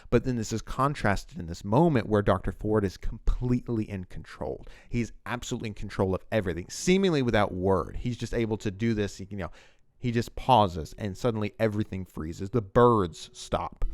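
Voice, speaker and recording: A man's voice: lowish voice, a little mellow. A man giving enthusiastic, rambling commentary on a TV scene as the host of a video essay.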